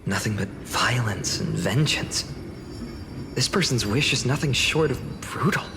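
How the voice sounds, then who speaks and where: A man's voice: medium pitch, expressive, measured pace. A man playing an earnest, doubtful young village hero in a fantasy video game, with a hesitant, musing delivery. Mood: solemn, musing. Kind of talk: English video game voice acting. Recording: long hall reverb under music.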